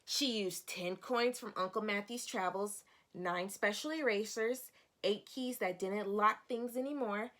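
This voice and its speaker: A woman's voice: mid-range voice, measured pace, a bit bright. A woman reading a picture book aloud like a teacher, guiding young children and putting questions to them. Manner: instructive, addressing young children.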